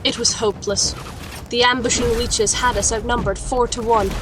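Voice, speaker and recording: A woman's voice: fairly high pitch, fairly even intonation, normal speed, noisy background, lightly acted. A woman playing a grave alchemist, delivering theatrical first-person storytelling in a fantasy audio drama. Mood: tense recounting. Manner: solemn, theatrical. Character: grave female alchemist of a sisterhood, recounting battles. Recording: loud music bed underneath.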